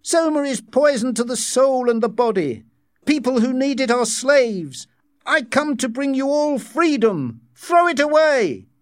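A man reading an audiobook as an anguished young man, pleading and shouting with great fervour. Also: very high voice, animated, measured pace, no echo, studio quality, pitched above the performer's own voice. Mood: fervent, defiant. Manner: loud, pleading, fervent shouting. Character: anguished young man, an impassioned outsider called the savage.

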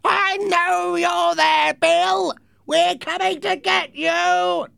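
A blind old pirate villain from a storybook, furious and commanding. The voice is pushed very high into a strained shout. Every order comes out frantic and menacing. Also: male voice, fairly even intonation, very slow, creaky, no echo, studio quality, heavily acted.

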